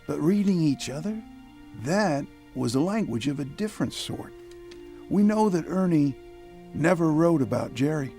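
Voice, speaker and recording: A man narrating a radio drama as a reflective storyteller, deliberate and literary in his phrasing. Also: fairly high pitch, lively intonation, dark tone. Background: music.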